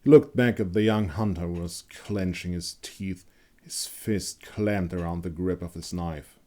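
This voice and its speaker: A male storyteller with a very low voice reads dark fantasy fiction. The delivery is dramatic and scripted, in the manner of an audiobook. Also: fairly even intonation, a little slow. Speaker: author and narrator.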